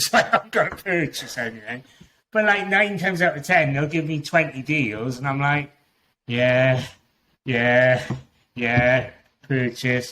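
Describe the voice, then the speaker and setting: A man from Wales speaks with a strong Welsh accent at an ordinary middle pitch. He is a podcast guest, talking in a loose, chatty way that rambles and often restarts its sentences. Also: a bit bright, slight background noise. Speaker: guest Amazon seller. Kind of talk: casual podcast interview.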